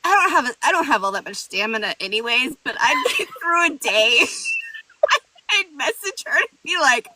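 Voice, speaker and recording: A woman's voice: sits high, big pitch swings, moderate pace, sharp tone, no room sound, low-quality recording. A woman guest narrator in a casual online chat, giggly and self-deprecating.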